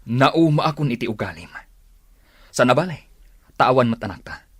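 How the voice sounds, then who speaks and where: A man playing an embittered, fed-up husband in a radio drama, curt and weary, with a husky voice. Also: mid-range voice, expressive, normal speed, a bit bright, dry recording, clean studio sound. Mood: cold, resolute.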